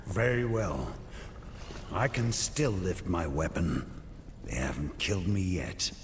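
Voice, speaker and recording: An actor playing a grave, confident and commanding knight commander in a fantasy video game. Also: male voice, sits low, lively intonation, slow pace, background noise, exaggerated voice. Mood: defiant. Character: grim, confident knight commander, weary but resolute man.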